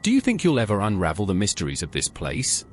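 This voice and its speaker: A man's voice: fairly low pitch, huge pitch swings, fast, soft background music. A synthetic-style man's voice playing an inquisitive adventurer hero in a fantasy video game. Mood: curious.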